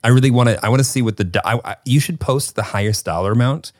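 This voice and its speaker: A man's voice: mid-range voice, quick pace, no room sound, clean studio sound. A man co-hosting a podcast in casual chat, joking and playful. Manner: joking, playful, animated delivery. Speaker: podcast co-host.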